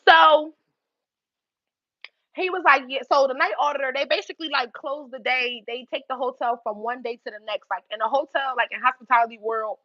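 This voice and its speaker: A young woman with a Philadelphia accent telling a story on her livestream, animated and swearing freely between laughs. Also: sits high, fairly quick, no room sound, muffled recording. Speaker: YouTube livestream host. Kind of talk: casual livestream storytelling to chat.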